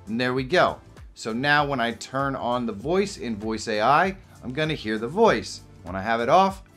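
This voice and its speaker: Middle-aged man, mid-pitched tutorial host. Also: a bit bright. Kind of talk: casual software tutorial. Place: small indoor home studio.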